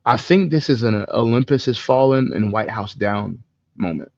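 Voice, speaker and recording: Male co-host, low gravelly voice, opinionated casual chat, free swearing, dull dry recording. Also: normal speed, darkish tone, no echo. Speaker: podcast co-host. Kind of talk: casual chat about video game movies.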